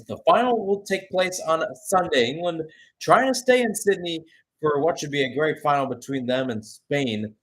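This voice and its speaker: A man's voice: fairly high pitch, rough recording. Male football play-by-play commentator. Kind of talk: live radio-style sports commentary. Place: commentating remotely, not at the stadium.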